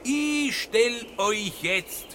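A man's voice: very high voice, expressive, drawn-out words, bright, forceful tone, a little hiss or hum, heavily acted. An actor playing a timid, simple-minded male Swabian fairy-tale hero in a children's audio play, with a Swabian accent and a naive singsong way of speaking. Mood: slow, riddling.

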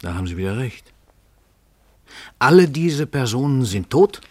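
An actor playing a world-famous, self-assured writer in a radio play, urbane and ironic, with condescending amusement. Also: male voice, mid-range voice, big pitch swings, moderate pace, darkish tone, dry recording. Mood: probing, amused. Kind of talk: German radio play acting.

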